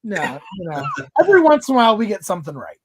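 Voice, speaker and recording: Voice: medium pitch, huge pitch swings, fast talker, a crowd in the background. A podcast host asking questions in a casual interview, playful and joking.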